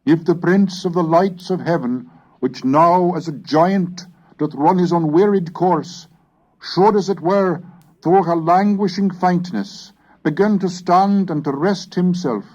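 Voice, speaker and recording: A man reading a scripted educational narration in a formal, measured, oratorical manner. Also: sits high, darkish tone. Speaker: lecturer and reader. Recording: dull lo-fi cassette recording.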